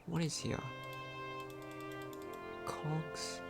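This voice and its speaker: A man doing casual let's play commentary, thinking aloud, repeating phrases and asking himself questions. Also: drawn-out words. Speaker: gaming commentator. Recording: game music behind the voice. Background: video game music.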